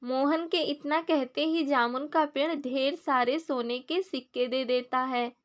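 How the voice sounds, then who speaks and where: A woman's voice: high-pitched, steady tone, unhurried. A woman narrating a scripted moral story for children in storybook style.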